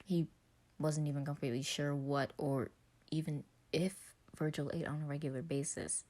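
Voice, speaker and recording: A woman's voice: low voice, steady tone, normal speed, warm tone. A woman reading a story aloud as a scripted narration. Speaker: podfic narrator.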